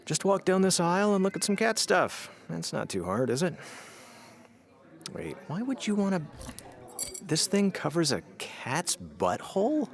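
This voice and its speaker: A man's voice: high voice, huge pitch swings, a little slow, vocal fry, heavily acted. An actor playing a put-upon, sarcastic man in a comedic audio drama, grumbling with dry reluctance. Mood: reluctant, exasperated.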